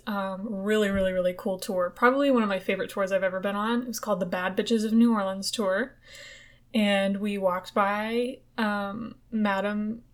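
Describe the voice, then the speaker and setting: A young woman hosting a podcast, telling ghost stories in casual chat, swearing freely and chasing quick asides. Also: medium pitch.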